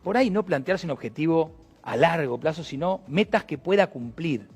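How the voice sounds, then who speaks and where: Husky Argentine host, hesitant. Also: male voice, fairly high pitch, expressive, moderate pace, soft background music. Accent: Argentine Spanish. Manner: hesitant, many fillers and restarts. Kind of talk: conversational interview.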